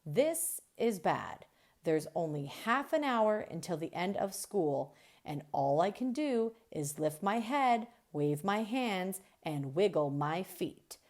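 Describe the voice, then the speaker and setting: Woman reading a kids picture book out loud, really slow and expressive, voice a bit on the low side. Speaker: storyteller reading a children's book. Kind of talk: expressive read-aloud narration.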